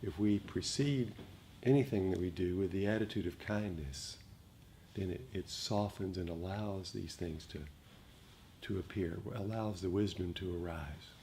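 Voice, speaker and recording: A man giving a dharma talk as a meditation teacher, speaking reflectively and gently, with frequent pauses. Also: sits low. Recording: noticeable background noise.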